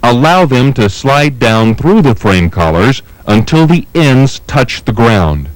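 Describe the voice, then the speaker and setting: A man reading scripted instructional narration for a training film in a measured, methodical manner. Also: mid-range voice, sing-song, no echo, low-quality recording. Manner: measured, methodical, step-by-step delivery.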